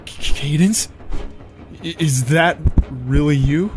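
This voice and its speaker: A man's voice: a little high, slow pace, over music. A man playing a paranoid, agitated pony prince who rants defiantly in a cartoon fan drama. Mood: wary, shaken. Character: frantic, paranoid pony prince firing magic at imagined foes. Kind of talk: animated fan audio drama acting.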